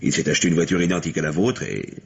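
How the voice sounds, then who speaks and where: A steady police lieutenant with a very low voice and measured authority. The style is old French radio drama, on a dull recording with slight noise. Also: male voice, creaky, full character voice. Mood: grave, determined. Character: steady, commanding male police lieutenant on a case. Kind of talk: old-fashioned French radio mystery acting.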